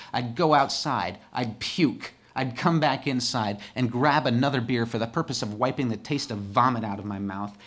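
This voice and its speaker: A man recounts his own story to listeners in a candid, conversational way. He builds rhythm by stacking short repeated phrases one after another. His voice sits at an ordinary middle pitch for a man. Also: a bit bright. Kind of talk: personal storytelling monologue.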